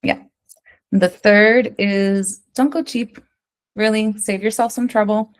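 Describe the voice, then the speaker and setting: An American woman working as an interior designer, answering interview questions in a lively, conversational way and often checking in with "right?". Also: mid-range voice, slow pace. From United States. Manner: animated, keeps asking "right?", tells stories.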